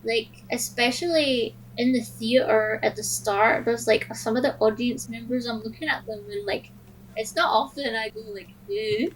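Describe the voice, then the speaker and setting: Hesitant woman with a highish voice. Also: normal speed, a little hiss or hum, rough recording. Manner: hesitant, frequent "like". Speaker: podcast co-host. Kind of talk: casual film discussion.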